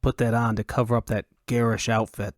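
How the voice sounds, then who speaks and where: A man's voice: mid-range voice, level tone, brisk, no echo, lightly acted. A man voicing a blunt, pragmatic hired adventurer in an audiobook, with a dry, terse, impatient manner. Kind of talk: audiobook character acting.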